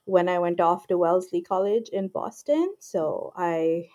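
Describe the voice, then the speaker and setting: A woman in her forties from Pakistan, calmly explaining her work as a guest in an interview with frequent ums and you-knows. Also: on the low side, a little slow, highs cut off. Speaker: guest, IP lawyer. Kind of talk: casual interview talk.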